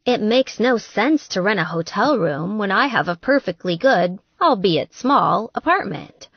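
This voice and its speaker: A woman's voice: medium pitch, expressive, unhurried, breathy edge, no room sound, highs cut off. A woman voicing an eager, good-natured young girlfriend in audiobook dialogue, earnest, chatty and self-deprecating.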